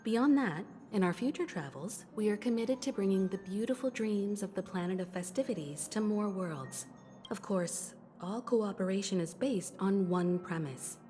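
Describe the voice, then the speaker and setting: An actress voicing a poised woman crew director, formal, measured and resolute, in video game voice acting. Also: medium pitch, expressive, mellow, natural voice. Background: game music.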